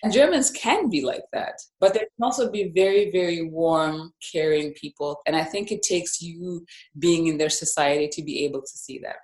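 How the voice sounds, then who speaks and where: A woman from Zambia, a life and business coach, telling her story as a podcast guest in a reflective, measured way. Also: deep voice, darkish tone. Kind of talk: podcast interview. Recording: roomy echo.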